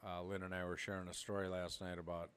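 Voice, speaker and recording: A man's voice: low voice, flat delivery, fast, a bit bright, clean tone. A middle-aged man from Minnesota, a podcast co-host telling rambling stories with frequent laughter. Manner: rambling storyteller, laughs often. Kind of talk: casual chat.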